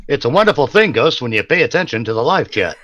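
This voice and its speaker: A man's voice: mid-range voice, very animated, fast, fairly bright tone. A man trading sarcastic wisecracks in casual livestream banter.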